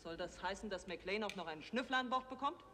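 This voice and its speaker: An actress playing a sharp-tongued, imperious space fleet general, curt and commanding, in 1960s German TV science fiction. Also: female voice, medium pitch, lively intonation, fast talker. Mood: indignant. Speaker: actor. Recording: reverberant, noisy 1960s TV soundtrack.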